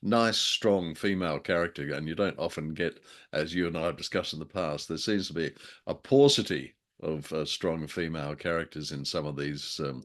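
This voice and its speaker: A man with a very low voice co-hosts a casual review conversation. He talks in a wordy, hesitant way with a wry touch, feeling his way through his points. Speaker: co-host of a radio drama review show.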